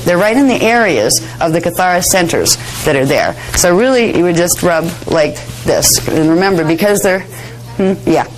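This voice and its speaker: Woman, hoarse, fairly low voice, casual workshop lecture, chatty, laughs a lot. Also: expressive, moderate pace, faint music behind, low-quality recording. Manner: chatty, laughs often, digresses. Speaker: spiritual teacher. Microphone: handheld microphone near face.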